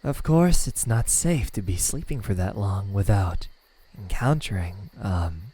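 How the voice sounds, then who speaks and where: A man voicing a smug, menacing yet melancholic demon in an ASMR roleplay, speaking in a hushed, taunting way. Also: on the low side, expressive. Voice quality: breathy, slipping into whispers. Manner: slow, hushed, taunting delivery with pauses.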